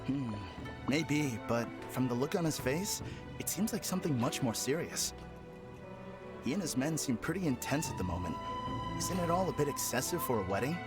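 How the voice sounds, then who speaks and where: A gossiping townsman in a video game, acted by a man, speaks at middling pitch with concern. Clear music plays behind him. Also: expressive, normal speed, lightly acted. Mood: concerned, curious.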